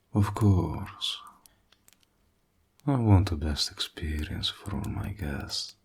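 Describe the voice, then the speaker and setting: A man playing a suave, courteous and flirtatious warlock with a sly edge, soft-spoken and deliberate, in an intimate audio roleplay. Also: low voice, full character voice. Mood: calm, persuasive. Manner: soft-spoken, breathy, slow and deliberate, flattering. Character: suave, courteous male warlock, hospitable, flirtatious, slyly menacing.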